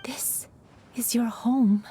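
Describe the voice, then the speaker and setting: An actress playing a solemn, gentle, mysterious woman from a dream in a video game, with poetic, deliberate delivery. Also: fairly high pitch, steady tone. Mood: solemn, coaxing.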